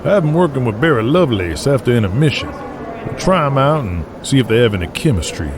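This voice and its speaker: A man's voice: medium pitch, big pitch swings, fairly quick, noisy background, close to an everyday voice. An actor playing a brash, foul-mouthed 1980s wrestling man in an audio drama. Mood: businesslike. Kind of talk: audio drama acting with narration.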